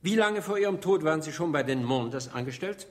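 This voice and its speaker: An actor playing a sharp, insistent prosecutor in a radio drama, questioning formally with pointed irony. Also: male voice, fairly high pitch, lively intonation, a little fast, lightly acted. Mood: formal, probing. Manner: formal, pointed, ironic cross-examining. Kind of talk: German radio drama acting.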